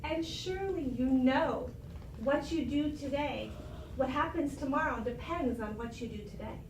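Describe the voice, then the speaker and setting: Lady as storybook anteater scolding gravely, measured, noisy roomy recording. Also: sits high. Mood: grave reproach. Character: wise unstriped anteater parent, gravely reproachful. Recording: roomy, distant classroom recording with noise.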